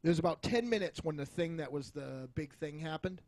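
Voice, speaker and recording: A man chatting casually as a podcast film reviewer, feeling his way through his points in halting phrases. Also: fairly high pitch, lively intonation, darkish tone, no echo. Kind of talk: casual chat.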